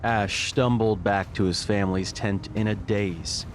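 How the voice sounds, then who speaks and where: A man's voice: lowish voice, steady tone, measured pace, a little hiss or hum. A man narrating an audio drama from a script in storytelling style.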